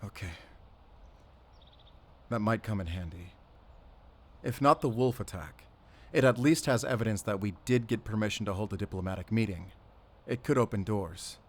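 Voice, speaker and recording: A man's voice: fairly low pitch, normal speed, long pauses, lightly acted. A man playing a determined, defiant investigator on the run in a cinematic audio drama, speaking with intensity. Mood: tense, resolute. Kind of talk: cinematic audio roleplay acting.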